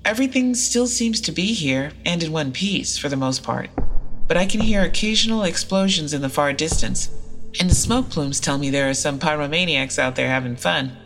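An actress playing a sardonic, foul-mouthed traveler stranded in an apocalypse, confiding in a comedic audio drama monologue. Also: female voice, sits low, animated, normal speed, fairly bright tone, faint music behind, rough recording. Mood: dismayed, wry.

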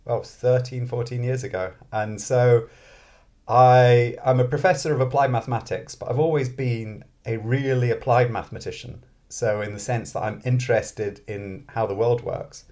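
A man's voice: mid-range voice, fairly even intonation, moderate pace, dark tone. A man with a British accent, talking casually as an interview guest and thinking aloud in a self-deprecating way. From Britain, grew up in Scotland. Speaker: interview guest, mathematics professor and author.

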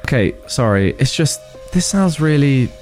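A man's voice: medium pitch, animated, moderate pace, darkish tone, soft background music, pitched above the performer's own voice, close to an everyday voice. A man voicing a skeptical, self-assured boyfriend who argues dismissively in a horror story. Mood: placating, doubtful. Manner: dismissive, insistent.

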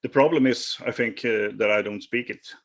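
A Swedish man hosting a podcast, chatting in English with a Swedish accent, halting with many fillers and restarts. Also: mid-range voice, husky. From Sweden. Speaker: podcast host. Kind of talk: casual chat. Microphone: remote call.

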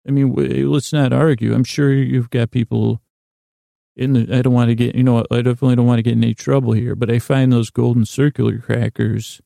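An American man hosting a bedtime podcast with a gravelly voice, rambling in a lighthearted, gently silly way and often stumbling over and correcting his words. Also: mid-range voice, little pitch movement, a little fast, no room sound, studio quality. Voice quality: gravelly, creaky. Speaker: podcast host. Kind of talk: rambling bedtime monologue to lull listeners to sleep.

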